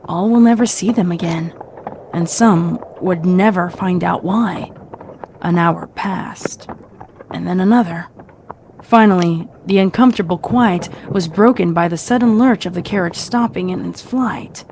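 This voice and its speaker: A woman's voice: on the low side, unhurried, darkish tone, a little hiss or hum. A woman giving a dramatic, scripted narration of a horror story.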